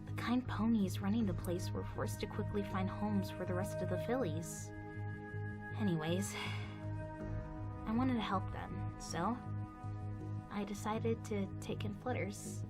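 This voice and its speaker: A woman voicing a kindly female pony guardian in an animated fan drama, gentle and reassuring. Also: mid-range voice, a little slow, loud music behind the voice, lightly acted. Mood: wistful.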